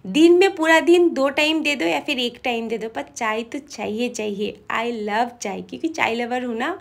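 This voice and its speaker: A woman's voice: high-pitched, gravelly, a bit bright. Young woman vlogging from her bedroom, a bit sick with a cough, chatty, homey. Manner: chatty and homely, mixing in English words. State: coughing a bit, slightly feverish. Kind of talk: casual chat to camera. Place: bedroom at home.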